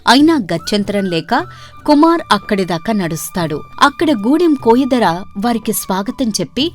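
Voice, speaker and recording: Woman storyteller with very lively intonation, music behind. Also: on the high side, normal speed. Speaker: storyteller narrator. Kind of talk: scripted narration of a moral story. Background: background music.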